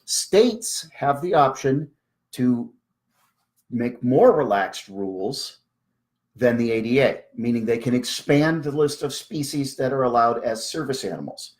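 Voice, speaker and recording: A woman's voice: rumbling low, animated, unhurried, darkish tone. Wry, emphatic woman explaining things casually. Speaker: speaker on a live Q&A about service dogs.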